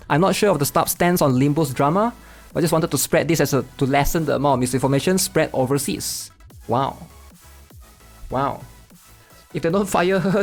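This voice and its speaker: A man hosting a gaming channel, casually commenting while reading online posts aloud and often tagging sentences with "right?". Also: fairly high pitch. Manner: chatty, tags sentences with "right?".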